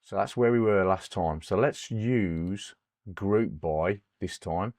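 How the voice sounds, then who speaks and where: A middle-aged man, hoarse from a cold, hosting a screen-recorded spreadsheet tutorial. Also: low voice, slow pace, darkish tone, no room sound, studio quality. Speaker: host of an Excel tutorial channel.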